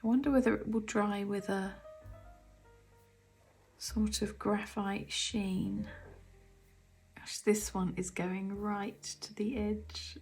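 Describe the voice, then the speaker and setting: Croaky, soft-spoken woman, half whispering. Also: medium pitch, fairly even intonation, slow, pauses often, faint music behind. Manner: soft, often half-whispered, musing aloud. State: croaky throat from cold. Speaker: artist demonstrating paints. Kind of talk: casual craft tutorial chat. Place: home art studio.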